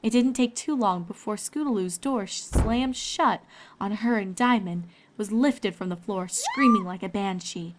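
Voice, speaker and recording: A woman's voice: medium pitch, measured pace, noisy background. A woman reading scripted story narration as the narrator of a fan fiction.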